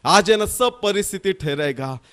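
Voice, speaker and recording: A man's voice: high voice, expressive, moderate pace, fairly bright tone, clean tone. A man preaching an impassioned sermon, in a repetitive, sing-song cadence that swells and drops.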